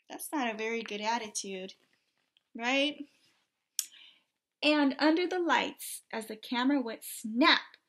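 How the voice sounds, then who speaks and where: A woman teacher reads a storybook aloud to children in a high, bright voice. She takes her time, exclaiming over the pictures and asking questions as she goes. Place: small indoor room with bulletin board.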